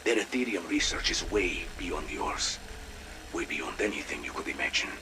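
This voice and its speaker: Deep, ominous informant. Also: male voice, expressive, very noisy. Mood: grave, insistent. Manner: terse, deliberate. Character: mysterious, ominous Russian male informant, cool and knowing. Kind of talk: video game audio log acting.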